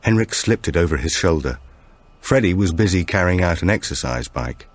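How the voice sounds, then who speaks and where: A man's voice: low-pitched, lively intonation, moderate pace. A man narrating a supernatural thriller audiobook from a script.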